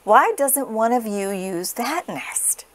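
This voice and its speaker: A scheming storybook tomcat, played by a woman, urgent and persuasive. The voice is high and swings widely in pitch. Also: expressive, slow pace. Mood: coaxing. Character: scheming male cat raising false alarms.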